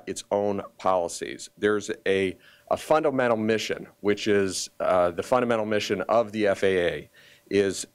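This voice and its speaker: A man speaking as a county official at a press conference, deliberate and emphatic, pausing often in a formal statement. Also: deep voice, smooth.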